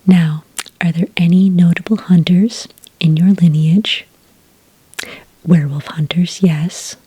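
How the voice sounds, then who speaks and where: A woman doing a soft-spoken ASMR roleplay as a polite, patient clerk, whispering gently close to the microphone. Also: fairly low pitch, fairly even intonation. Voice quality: whispery, breathy. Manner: gentle, polite, unhurried customer-service patter. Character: friendly, patient female registration clerk at a hunting agency.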